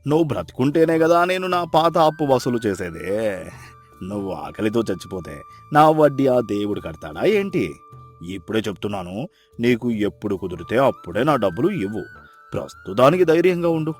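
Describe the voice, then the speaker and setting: A man's voice: medium pitch, big pitch swings, brisk, soft background music, lightly acted. A man playing a mocking village moneylender with a hidden kind heart in a dramatized moral story, sarcastic, teasing and blunt. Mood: gruffly generous.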